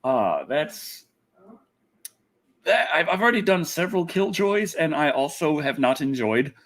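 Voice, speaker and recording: A man's voice: on the high side, very animated, unhurried, a bit bright. Rambling man hosting a livestream. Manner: rambling, repeats phrases for emphasis. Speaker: internet personality, livestream host. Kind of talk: casual livestream chat, answering viewers.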